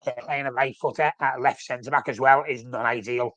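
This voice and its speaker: A man's voice: mid-range voice, steady tone, normal speed, a bit bright, no echo. A man with a flu, sounding snuffly, hosting a casual live-stream chat about his football club and rambling with frequent self-corrections. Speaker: fan channel host.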